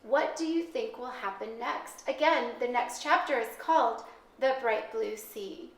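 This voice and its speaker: A woman reading aloud to children like a teacher, speaking clearly and deliberately in a storybook narration style. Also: fairly high pitch, low-quality recording. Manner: clear, deliberate, addresses young listeners.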